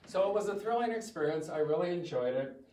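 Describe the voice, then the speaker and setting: An older man from the Midwest, with a big voice that sits a bit high, speaks to a church congregation in a chatty, self-mocking way. His words ring around an echoey hall, and he sounds some way off the mic, with faint background hum. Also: fast, recorded from a distance. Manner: chatty and self-joking, with animated quoting. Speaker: guest speaker. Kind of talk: storytelling talk to a congregation.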